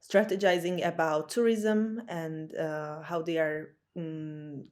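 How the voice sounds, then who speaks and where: Young woman, low voice. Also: steady tone, takes it slowly, darkish tone. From Slovakia. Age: 20s. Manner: hesitant, frequent fillers, laughs easily. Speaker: podcast guest. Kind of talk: casual interview talk.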